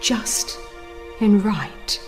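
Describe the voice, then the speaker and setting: Slow, solemn woman narrator over music. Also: mid-range voice, animated. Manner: solemn, emphatic, deliberate dramatic reading. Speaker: audiobook narrator. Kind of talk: dramatized scripted narration of a religious text.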